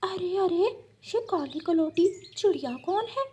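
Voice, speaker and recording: Woman voicing a snooty high-pitched lady bird. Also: expressive, measured pace, mellow. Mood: disdainful. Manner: snooty, dismissive. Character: snooty female bird friend, disdainful and offended.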